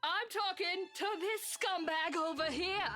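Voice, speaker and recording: A woman's voice: extremely high pitch, measured pace. An actress playing a furious, scornful woman in a visual novel game. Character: furious, scornful British woman confronting a man. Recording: game audio with music.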